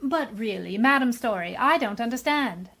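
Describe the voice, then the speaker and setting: A bewildered, anxious society matron, acted by a woman in a radio mystery play. Her voice sits a little high, and her delivery is theatrical and unsettled. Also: lively intonation, normal speed, a bit bright. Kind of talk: amateur radio mystery acting.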